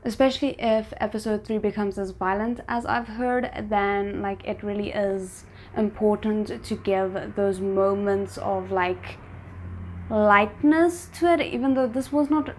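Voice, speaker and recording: A woman giving casual, spontaneous reaction commentary as a video host, thinking aloud in an animated, exclamatory way. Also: mid-range voice, a little slow, a little hiss or hum.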